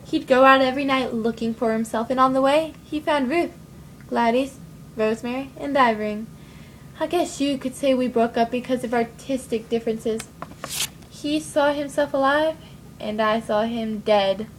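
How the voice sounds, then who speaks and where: A scorned lover delivers her story with dry irony, as a woman puts her on. The voice is a touch high, with a faint rumble in the background. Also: moderate pace, natural voice, low-quality recording. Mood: ironic. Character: scorned woman who killed her cheating artist lover.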